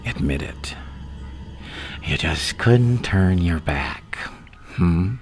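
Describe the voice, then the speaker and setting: A man playing a gleefully menacing, seductive madman villain in an ASMR roleplay, teasing and taunting in a gravelly, breathy voice that often sinks to a whisper. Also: deep voice, expressive, very slow, soft background music, full character voice. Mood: gloating, teasing.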